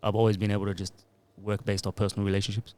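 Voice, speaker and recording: A man's voice: lowish voice, little pitch movement, rapid-fire, mellow, smooth. A man in his thirties, a music producer and DJ, talking in a laid-back, rambling way into a podcast mic. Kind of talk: casual podcast interview.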